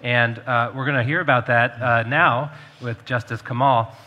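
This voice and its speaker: Bright-voiced male panel moderator. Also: mid-range voice, steady tone, normal speed. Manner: fluent and articulate, with fillers. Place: conference stage.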